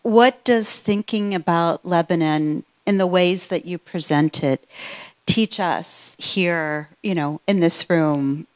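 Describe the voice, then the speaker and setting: A woman leads a conversation at a book event, her voice sitting on the low side. She asks her questions slowly and carefully, pausing between phrases. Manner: slow, careful questions with pauses. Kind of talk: moderating a conversation. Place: event room before an audience.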